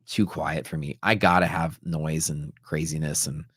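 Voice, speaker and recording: A man's voice: rumbling low, flat delivery, moderate pace, a bit bright, breathy edge, no room sound, clean studio sound. A man from Texas hosting a livestream, telling stories in a casual, rambling, slangy way. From Texas, United States. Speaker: livestream host, futures trader. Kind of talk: casual livestream chat, storytelling.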